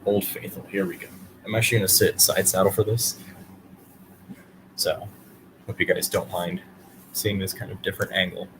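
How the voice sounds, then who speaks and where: A man with a somewhat low voice reads bedtime stories to children as their host. The home recording has clear noise behind him. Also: steady tone, moderate pace, pauses often. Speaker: storyteller and host. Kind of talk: reading a children's bedtime story aloud.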